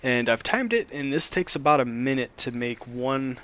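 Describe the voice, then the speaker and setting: A man, a little hoarse after a cold, casually explaining a modded game as he plays, with plenty of ums and restarts. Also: medium pitch, moderate pace. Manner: relaxed, rambling, lots of fillers. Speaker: Minecraft let's play host.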